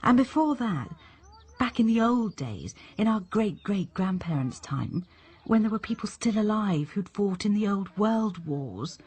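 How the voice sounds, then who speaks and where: An actress in a radio drama playing an anxious, sharp wife and mother who grows terrified. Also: mid-range voice, lively intonation, measured pace, husky. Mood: uneasy, probing.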